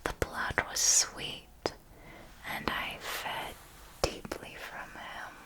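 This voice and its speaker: A woman playing an ancient female vampire mentor in an ASMR roleplay, speaking in a breathy whisper with a slow, deliberate, intimate and seductive manner. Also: poor audio. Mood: wistful, sensual.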